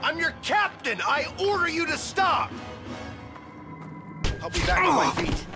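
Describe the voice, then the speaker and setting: A man's voice: very high voice, animated, measured pace, gravelly, full character voice. A man playing a cocky, informal young starship captain in a cinematic video game. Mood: desperate, urgent. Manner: informal, commanding. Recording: loud music behind the voice.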